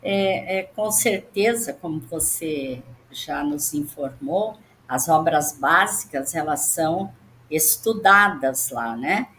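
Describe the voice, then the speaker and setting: A woman hosting an interview who asks gentle, hesitant questions. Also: sits low, animated, takes it slowly, slight background noise, poor audio. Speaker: interview host.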